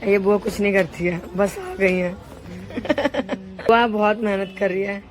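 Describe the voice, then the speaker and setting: A woman with a hoarse sore throat, chatting casually and teasing her relatives as she vlogs a family wedding from a handheld phone. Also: medium pitch, slow, music in the background. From Uttar Pradesh, India. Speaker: vlogger host.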